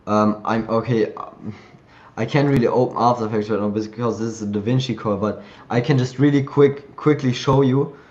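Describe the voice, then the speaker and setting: A man's voice: mid-range voice, fairly even intonation, moderate pace. Guy hosting a live call, rambling through a screen share, kinda muffled sound. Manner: loose and chatty, thinks aloud while clicking through software. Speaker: host of a live community call. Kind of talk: casual screen-share tutorial.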